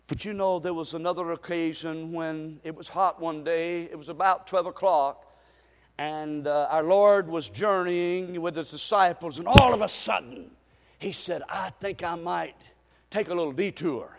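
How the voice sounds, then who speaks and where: A man preaching a sermon and telling a story, with stammering restarts, swinging from hushed to shouting. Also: a little high, normal speed. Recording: reverberant hall.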